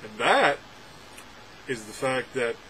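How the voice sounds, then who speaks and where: A young adult man reviewing a film from a room at home, talking sarcastically with animated, exaggerated outbursts. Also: mid-range voice, takes it slowly, a little hiss or hum, rough recording. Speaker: movie reviewer. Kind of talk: casual ranting film review. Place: home room with packed shelves, indoors. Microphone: webcam framing at desk.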